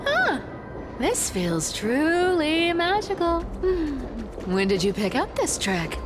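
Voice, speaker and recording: A woman's voice: extremely high pitch, wildly swooping pitch, takes it slowly, music in the background, heavily acted. A woman voicing a playful, teasing young female treasure thief in a video game, greedy, bossy and theatrical. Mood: curious. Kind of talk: animated video game voice acting.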